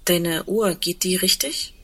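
A woman playing a wistful double bass player in a naturalistic radio play, speaking in a reflective, halting and intimate way with a husky voice. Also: animated, a bit bright, heavily acted. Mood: quietly curious.

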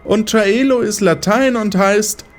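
A man's voice: high-pitched, slow, slight background noise. An actor playing a smug, menacing male pursuer in a radio play, condescending and theatrical.

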